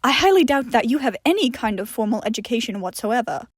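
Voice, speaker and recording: A woman's voice: a little high, very animated, fast, husky, no echo, studio quality. A woman voicing a prickly, indignant educated man in an audio story, huffy, scornful and precise. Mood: bitter, hurt.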